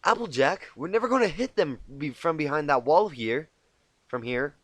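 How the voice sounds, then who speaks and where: A man's voice: fairly high pitch, lively intonation, moderate pace, fairly bright tone, dry recording. A man voicing an anxious, bookish young female unicorn pony with a worried, questioning manner in a story reading.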